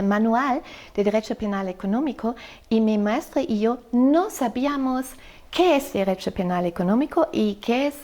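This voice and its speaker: Slow, halting German-accented woman. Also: medium pitch, darkish tone. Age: middle-aged. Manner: halting, frequent fillers and self-corrections, says "so". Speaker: law professor. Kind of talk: explanatory interview answer.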